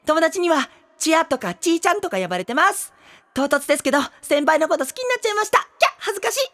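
Cutesy, high-voiced schoolgirl. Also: sing-song, fast, fairly bright tone, full character voice. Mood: giddy, coy. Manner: cutesy, bashful, girlish. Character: giddy, coy teenage girl voicing her love letter. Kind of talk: anime-style audio drama acting.

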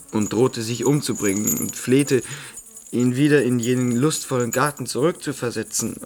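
A man's voice: medium pitch, lightly acted. An actor playing an intoxicated singer in a radio play, stammering and repeating words as he confides giddily. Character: young intoxicated singer, giggly, confiding, enraptured storyteller. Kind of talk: German radio play acting.

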